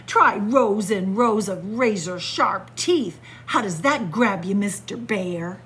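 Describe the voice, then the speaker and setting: A woman's voice: medium pitch, lively intonation, slow pace, fairly bright tone, slight background noise. A woman voicing a boastful shark from a picture book, bragging and exclamatory. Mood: cocky. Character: boastful shark, jumpy about spiders. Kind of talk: picture-book read-aloud. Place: indoors in a library.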